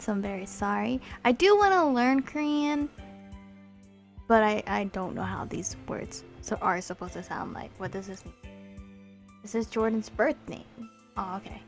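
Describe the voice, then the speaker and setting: A young woman hosting a let's play, reading the story text aloud with expression. She breaks off for chatty, apologetic asides to her viewers. Her voice sits at a middling pitch. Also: vocal fry. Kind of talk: reading a visual novel aloud with commentary.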